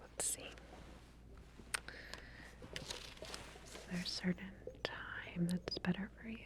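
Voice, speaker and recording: Female ASMR performer, low-pitched soft whisper, playing an attentive, reassuring lab scientist in a one-to-one roleplay. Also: fairly even intonation, slow. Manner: gentle, reassuring, professional as a lab researcher. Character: calm, attentive female scientist running a medical experiment.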